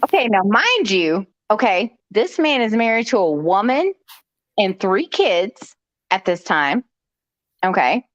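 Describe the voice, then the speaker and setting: A woman's voice: mid-range voice, sing-song, slow pace, dry recording. A woman hosting a live stream, telling a personal story in a chatty, joking way, with frequent "okay" asides. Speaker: host. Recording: dull lo-fi stream audio.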